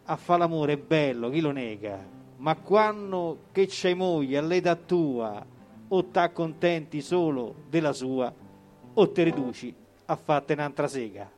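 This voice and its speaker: A man's voice: a little high, lively intonation, unhurried, darkish tone, soft background music. A man with a Roman accent giving a theatrical reading of comic dialect verse. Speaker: poetry reader.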